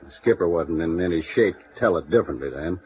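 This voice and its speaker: An actor playing a bluff, gruff small-town newspaper editor who talks in a blunt, folksy way, in a 1950s radio drama. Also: male voice, sits low, animated, quick pace. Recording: muffled vintage radio broadcast with faint hiss.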